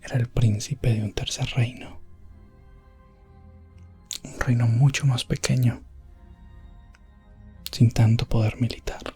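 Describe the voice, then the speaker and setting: A man playing an elf prince adventurer, telling a fantasy ASMR tale in a soft, breathy, often whispered voice with solemn pauses. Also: medium pitch, level tone, faint music behind. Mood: calm, reflective. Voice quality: breathy, hushed. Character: elf prince adventurer recounting his rescue quest, gentle and solemn. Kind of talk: ASMR fantasy roleplay storytelling.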